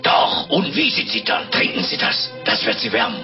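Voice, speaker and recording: A bossy, menacing servant, fairly high and swinging wildly in pitch. He is curt and insistent in a comic radio play, with loud music behind a dull recording. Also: huge pitch swings, normal speed. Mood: menacing insistence. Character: grim, bossy, menacing manservant.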